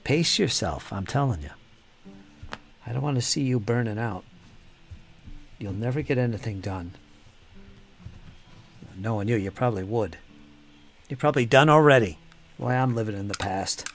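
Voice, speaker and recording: A man's voice: mid-range voice, lively intonation, normal speed, long pauses, faint music behind. A man hosting a casual live stream while painting miniatures, chatting with viewers by name and muttering to himself as he works. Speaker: hobby streamer.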